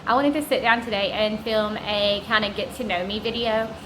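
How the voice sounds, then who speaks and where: A woman's voice: medium pitch, steady tone, normal speed, echoey room, slight background noise, poor audio. A woman in her thirties with a Southern US accent, chatting casually to camera in a rambling vlog. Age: 30s. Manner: chatty, rambling, warm asides to viewers. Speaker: vlogger. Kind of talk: casual sit-down chat to camera. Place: vacation home indoors.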